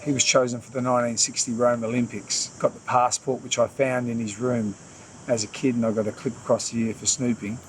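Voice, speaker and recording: A man's voice: mid-range voice, flat delivery, a little fast, a little hiss or hum, low-quality recording. A man, a former boxer, reminiscing in a documentary interview with easygoing, hesitant storytelling. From Australia.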